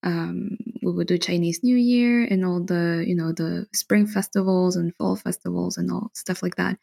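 Gravelly-voiced woman chatting as a guest. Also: on the low side, moderate pace. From Bolivia. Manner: relaxed, chatty, with frequent fillers and soft laughs. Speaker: podcast guest. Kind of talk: casual interview chat.

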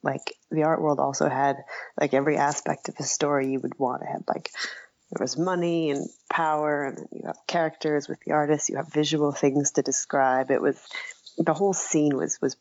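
Low, creaky-voiced woman, tentative and casual. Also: fairly even intonation, moderate pace, a little mellow, no echo, dull audio. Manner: tentative, self-deprecating, searching for words. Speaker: interview guest, writer and author. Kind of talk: casual conversational interview.

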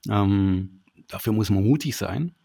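A man interviewing two podcast guests in a halting way, often repeating words. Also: lowish voice, gravelly, warm tone, slightly rough. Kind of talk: casual interview.

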